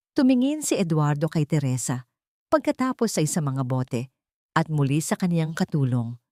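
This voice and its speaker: Female audiobook narrator, lower register, dramatic scripted delivery, dry close sound. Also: dark tone, dry recording.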